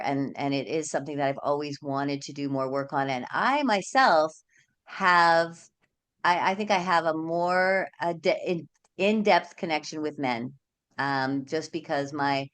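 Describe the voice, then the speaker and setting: Low-voiced, earnest middle-aged woman. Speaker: host, sexuality coach. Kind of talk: casual interview chat.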